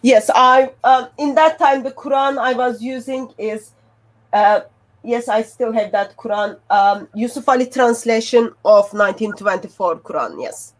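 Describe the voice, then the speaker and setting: A Turkish woman with a Turkish accent explains her research informally in halting, non-native English. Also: on the high side. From Turkey. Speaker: guest researcher.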